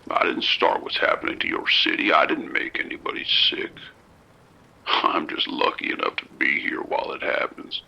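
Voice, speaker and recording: A man playing a calm, soft-spoken abductor in an audio drama, with a gravelly, whispery voice and a slow, coaxing manner. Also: extremely low pitch, full character voice, rough recording. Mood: creepy whisper.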